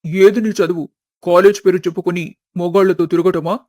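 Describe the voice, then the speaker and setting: Man playing an arrogant, high-pitched bullying husband. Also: steady tone, moderate pace, a little mellow, no echo, clean studio sound, close to an everyday voice. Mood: sneering, threatening. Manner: taunting, menacing.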